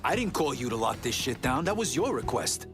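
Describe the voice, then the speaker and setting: An actor playing a defiant, foul-mouthed criminal underboss in a video game, blunt and insistent. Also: male voice, medium pitch, big pitch swings, fairly quick. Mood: indignant. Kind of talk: video game cutscene acting. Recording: music under the voice.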